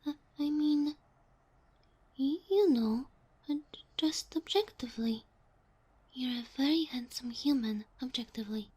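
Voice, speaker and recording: A woman voicing a sweet, shy, submissive fox spirit familiar in an ASMR roleplay, with a soft, breathy voice that often drops to a whisper and a gentle, bashful way of talking. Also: high-pitched, unhurried, long pauses. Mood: flustered, bashful. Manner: gentle, bashful, flustered hesitations.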